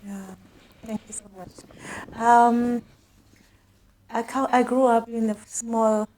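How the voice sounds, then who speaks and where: A woman's voice: fairly high pitch, flat delivery, slow, darkish tone, a little hiss or hum, poor audio. A middle-aged South African woman, a guest house owner and coach, telling her life story in an interview with earnest, emphatic bursts. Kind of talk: conversational interview.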